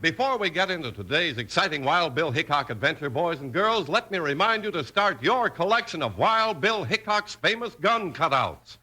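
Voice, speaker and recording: A man's voice: a little high, sing-song, moderate pace, hardly any pauses, noisy background. A man announcing and narrating in a dramatic, emphatic 1950s radio style.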